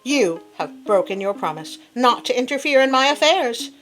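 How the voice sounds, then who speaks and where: A woman voicing a proud, quick-tempered nobleman in a storybook tale, commanding in manner. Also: on the high side, lively intonation, a bit bright, faint music behind, poor audio. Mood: accusing, angry.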